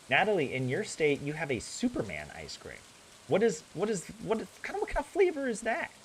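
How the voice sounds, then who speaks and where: Young american guy streaming a game, rambly and animated, bit of hiss behind him. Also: medium pitch. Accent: general American. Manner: rambling, chatty, self-correcting, laughs often. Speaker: gaming streamer. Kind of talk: casual chat with viewers while playing a farming game.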